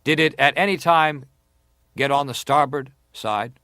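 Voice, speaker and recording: A man acting as a senator at an inquiry, putting questions in a formal, deliberate and persistent manner. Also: medium pitch, sharp tone, no echo, studio quality. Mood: insistent, methodical. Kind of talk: dramatized reading of hearing testimony.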